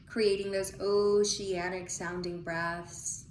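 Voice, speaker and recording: Slow-paced woman guiding meditation in echoey room. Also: medium pitch, level tone. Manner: soothing, unhurried, with long pauses between phrases. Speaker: guided meditation leader. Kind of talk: guided visualization, breathing instruction. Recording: echoey room with faint noise.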